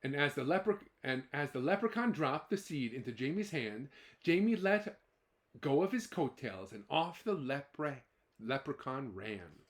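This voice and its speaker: A man's voice: medium pitch, animated, measured pace. A man as storyteller, narrating a children's folktale expressively with dramatic exclamations and playful asides. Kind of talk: expressive children's storytelling, part read and part ad-libbed.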